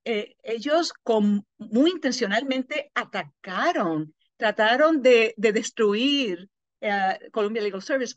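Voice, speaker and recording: Woman interpreter, fairly high voice, halting with fillers, dry dull video call sound. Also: dry recording. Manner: halting, with frequent pauses and fillers. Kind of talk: simultaneous interpretation.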